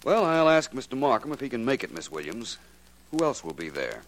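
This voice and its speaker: An actor in a 1940s radio mystery playing an unruffled, wry gentleman detective. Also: mid-range voice, very animated, fairly quick. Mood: cool, wry. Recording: hissy, clicky 1940s radio recording.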